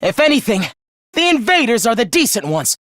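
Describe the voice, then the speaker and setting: A man's voice: very high voice, sing-song, fairly quick, no echo, studio quality, full character voice. A man playing a contemptuous, human-hating young villain in a video game, theatrical and scheming in his delivery. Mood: manic rage. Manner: theatrical, contemptuous, swinging from hushed menace to shrieking. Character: young male traitor, genocidal, gloating, human-hating schemer. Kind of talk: video game voice acting, villain confession monologue.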